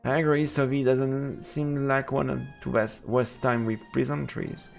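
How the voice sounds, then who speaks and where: A man with a gravelly, creaky voice at an ordinary male pitch plays a visual novel on stream. He reads narration aloud and mutters casual commentary to himself, with faint music playing behind him. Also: flat delivery, measured pace. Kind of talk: casual let's play chat mixed with read-aloud narration.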